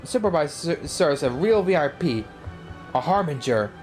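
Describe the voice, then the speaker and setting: A woman's voice: sits low, animated, moderate pace, a little mellow, faint music behind, voice pushed down. A woman voicing a smug, self-righteous game villain who gloats and speaks pompously.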